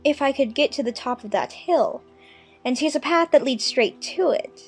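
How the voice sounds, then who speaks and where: A woman acts out a curious, well-mannered young girl from a children's storybook. Her voice sits high and she muses expressively to herself. Faint music plays behind her. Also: animated, moderate pace. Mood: musing, puzzled. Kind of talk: dramatized children's audiobook acting.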